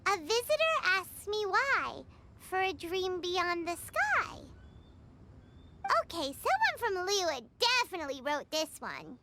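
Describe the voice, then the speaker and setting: A woman voicing a tiny, eager fairy companion in a video game, with a squeaky, childlike voice. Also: sing-song, slow pace, background noise, heavily acted. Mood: curious pondering. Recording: music behind the voice.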